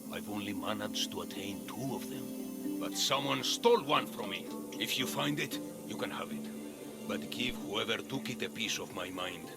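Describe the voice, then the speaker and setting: Casting: guilt-ridden gruff game veteran, heavy vocal fry, strong music underneath. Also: male voice, fairly low pitch, lively intonation, moderate pace. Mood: haunted, bitter. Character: haunted, gruff male collector, guilt-ridden old soldier. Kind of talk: video game cutscene acting.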